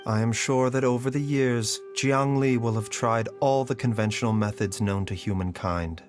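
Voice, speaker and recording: An actor playing a calm, compassionate doctor in a video game, with formal, measured and grave speech. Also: male voice, mid-range voice, lightly acted. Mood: regretful. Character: calm, soft-spoken physician, measured and compassionate. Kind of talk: dramatic video game voice acting. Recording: music clearly behind the voice.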